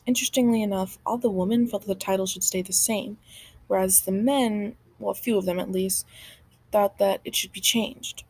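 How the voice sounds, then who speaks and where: A woman's voice: mid-range voice, darkish tone, husky, dry recording, poor audio. Woman narrating a podcast, dry close sound. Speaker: podcast host. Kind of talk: scripted narration.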